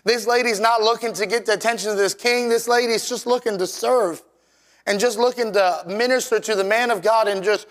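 Male preacher, somewhat high-pitched, voice worn and a touch scratchy, unpolished plainspoken sermon delivery. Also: fairly even intonation, a little fast. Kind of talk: sermon with scripture reading and prayer.

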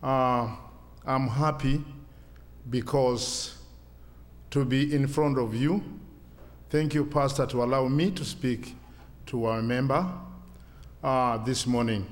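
A man in his sixties from Kenya with a Kenyan accent, a Swahili speaker giving a church testimony in halting, simple English. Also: medium pitch, fairly even intonation, long reverb tail. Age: 60s.

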